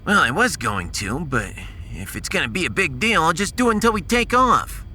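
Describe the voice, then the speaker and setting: A man's voice: a little high, very animated, moderate pace, bright, forceful tone, slight background noise, pitched above the performer's own voice, full character voice. A man voicing a wisecracking shuttle pilot with showy limo-driver patter in a science fiction audiodrama. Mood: joking. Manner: showy mock-announcer salesmanship.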